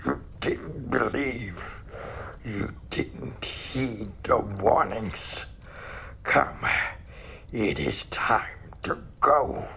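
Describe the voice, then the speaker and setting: A man playing a menacing demon in an audio horror drama, growling slow, drawn-out, ominous words. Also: lowish voice, lively intonation, vocal fry, pushed and bright, a little hiss or hum, full character voice. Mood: cold condemnation. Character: menacing male demon from the fire, gloating harvester.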